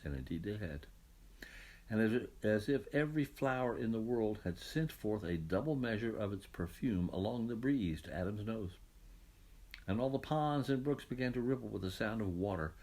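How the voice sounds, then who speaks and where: Husky, expressive male storybook narrator. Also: lowish voice. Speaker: audiobook reader. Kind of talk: scripted children's story narration.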